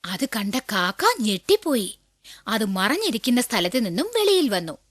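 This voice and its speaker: A woman's voice: on the high side, very animated, normal speed, no room sound, clean studio sound. A woman narrating scripted stories for children.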